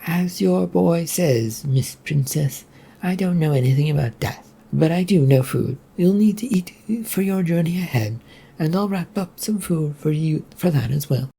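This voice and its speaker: A storyteller voicing a gentle, gleeful old castle cook with a kindly, motherly manner. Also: medium pitch, animated, measured pace, gravelly, husky, voice pushed up, exaggerated voice. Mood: kindly, assured. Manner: kindly, motherly, food-obsessed.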